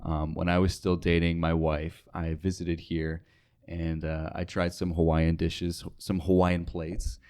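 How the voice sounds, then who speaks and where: A man's voice: sits low, little pitch movement, a little slow, a little mellow, clear, resonant tone. A young American man talking casually as a guest in an interview. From United States, North Dakota. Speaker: interview guest, radio sales associate.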